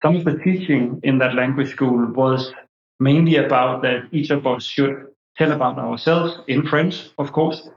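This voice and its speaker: A man's voice: mid-range voice, unhurried. Danish man in an echoey, dull room. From Denmark. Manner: hesitant, many fillers and restarts. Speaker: guest, anthropology professor. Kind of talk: interview answers, storytelling.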